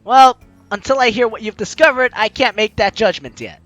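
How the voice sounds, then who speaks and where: A man hosting a game playthrough, reading visual novel lines aloud in an expressive, playful, theatrical way. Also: sits high, moderate pace, muffled recording, faint music behind.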